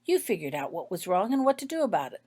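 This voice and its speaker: Lady reading as kind wizard healer in a story, calm, professional, explains things. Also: on the low side, fast, slightly rough, pitched above the performer's own voice. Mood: calm, encouraging.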